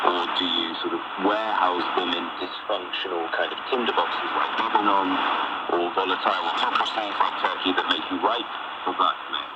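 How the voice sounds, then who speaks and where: Deep-voiced man through loud shortwave static. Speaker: panel guest, migration commentator. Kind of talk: broadcast panel discussion. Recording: shortwave radio, heavy static noise.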